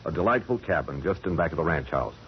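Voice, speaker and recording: An actor playing a brusque yet apologetic dude ranch owner, businesslike, in 1940s radio style. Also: male voice, sits low, lively intonation, fast, slightly rough, exaggerated voice. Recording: muffled, faintly noisy old radio broadcast.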